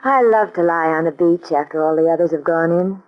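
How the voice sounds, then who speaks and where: An actress playing an alluring young woman spy in a 1940s radio drama, speaking softly and intimately. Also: fairly low pitch, brisk, natural voice. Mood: dreamy. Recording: dull old radio broadcast with loud hiss.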